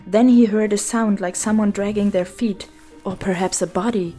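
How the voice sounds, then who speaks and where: A woman's voice: mid-range voice, steady tone, moderate pace, warm tone. A woman reading a children's story as its narrator, in a scripted storybook style. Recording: music behind the voice.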